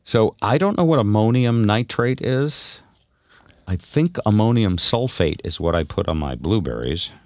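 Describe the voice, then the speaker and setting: Deep-voiced, wry man hosting talk radio. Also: lively intonation, normal speed, dry recording, clean studio sound. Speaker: radio show host. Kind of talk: casual radio banter.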